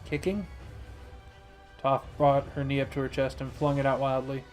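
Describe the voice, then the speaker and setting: A man reading fan fiction as narrator, in an expressive storytelling style. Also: mid-range voice, a little fast, soft background music.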